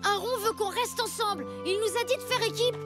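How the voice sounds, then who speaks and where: An actor playing a plucky, excitable and loyal young boy in an animated cartoon, earnest and given to exclaiming. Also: very high-pitched, brisk. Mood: earnest, reproachful. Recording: loud cartoon music behind the voice. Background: cartoon music.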